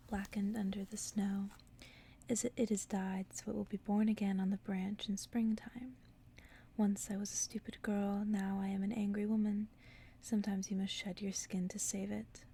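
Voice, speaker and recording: Female ASMR reader: hushed whisper, mellow and creaky, close-miked, soothing bedtime delivery. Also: medium pitch. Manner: hushed whispering, soothing slow repetition of phrases. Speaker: ASMR host and book reader. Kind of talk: whispered ASMR, casual chat and bedtime story reading. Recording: faint background noise.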